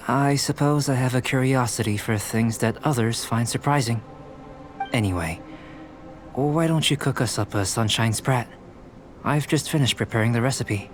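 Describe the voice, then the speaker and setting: A man playing a calm, curious young alchemist scholar in a video game, speaking in a measured, formal, analytical way. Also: medium pitch, natural voice. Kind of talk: video game character dialogue. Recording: music behind the voice. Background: game music.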